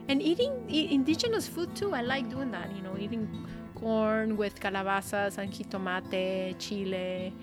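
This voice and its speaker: A woman from Mexico speaks, a writer recounting her own story in an earnest, reflective way. She also recites a poem. Music plays loudly under her voice the whole time. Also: mid-range voice. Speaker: writer and journalist. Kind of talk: personal storytelling and poetry reading.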